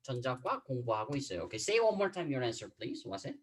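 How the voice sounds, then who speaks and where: Man teaching online language class over video call, patient, lively intonation, lots of um and uh. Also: medium pitch, racing, slight background noise. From Korea. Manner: patient, explains with examples, frequent fillers and restarts. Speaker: Korean teacher. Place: home room, video call.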